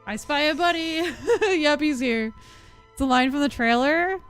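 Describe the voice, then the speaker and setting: A woman's voice: sits high, measured pace, a bit bright. A woman livestreaming a video game, chatting casually and reacting in a giggly way. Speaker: game streamer. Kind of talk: casual livestream chat while playing. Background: game music.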